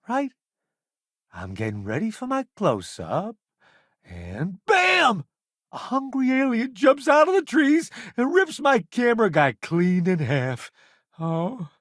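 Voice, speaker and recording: Video game space crewmate: showy ex-celebrity food critic, unhurried, very expressive, wry and self-assured. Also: male voice, high-pitched, no echo, clean studio sound, exaggerated voice. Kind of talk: video game character voice lines.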